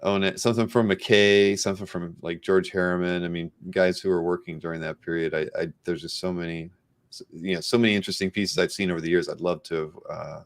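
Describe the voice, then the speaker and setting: A man's voice: low-pitched, steady tone, fairly quick. A man hosting a casual live interview show, chatting informally and with enthusiasm. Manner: informal, enthusiastic, reads out viewer comments. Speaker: host of a live comic art show.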